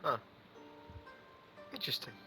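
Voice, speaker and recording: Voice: measured pace, sharp tone, soft background music. A game streamer giving casual reaction commentary, informal and thinking aloud.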